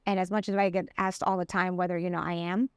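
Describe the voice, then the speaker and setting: A woman's voice: fairly low pitch, level tone, clear, resonant tone, no room sound, clean studio sound. A middle-aged woman from Colombia, a guest in an interview, speaking with passion and drawing out key words for emphasis. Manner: passionate, emphatic, drawn-out stresses. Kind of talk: conversational interview.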